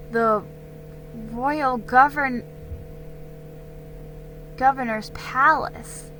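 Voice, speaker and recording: Bright-voiced woman reading a story dramatically. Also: fairly high pitch, rough recording. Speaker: amateur story reader.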